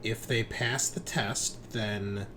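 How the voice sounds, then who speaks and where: A man running a tabletop roleplaying game, narrating and explaining rules in a halting, think-aloud way. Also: on the low side, fairly even intonation. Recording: clear background noise.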